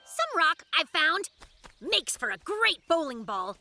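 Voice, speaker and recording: A little bear cub from a children's cartoon, with a tiny, very high voice. The delivery is drawn-out and theatrical, playful and stubborn. Loud, bouncy kids' music plays behind it. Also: big pitch swings, exaggerated voice. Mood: sly, confident. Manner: playful, silly wordplay. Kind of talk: children's animated cartoon acting.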